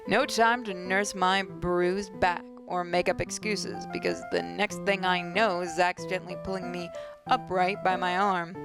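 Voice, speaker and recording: A woman's voice: fairly low pitch, steady tone, unhurried. A woman hosting a gaming video, reading a visual novel aloud with asides and occasional stumbles. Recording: voice over game music.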